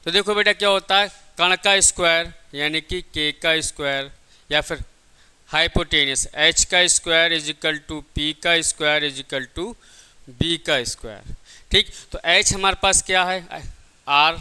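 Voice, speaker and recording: A man's voice: a little high, bright, forceful tone, clean tone. A man teaching a lesson step by step, didactic, often asking questions and answering them himself. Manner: didactic, repeatedly asks and answers own questions. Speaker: physics teacher. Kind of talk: classroom lesson, step-by-step explanation.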